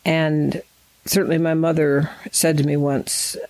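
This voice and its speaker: Gravelly interviewer asking thoughtful questions. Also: medium pitch, little pitch movement, a little slow, darkish tone, no echo, poor audio. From Nigeria. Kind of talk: interview conversation.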